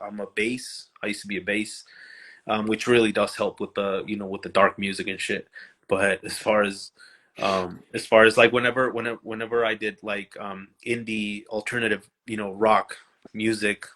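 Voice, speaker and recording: A man with a fairly low voice talks over a livestream video call. He speaks shyly and haltingly, stumbling and restarting his sentences as he goes. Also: sharp tone. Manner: shy, halting, many restarts. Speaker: musician, livestream guest. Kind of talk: casual livestream chat.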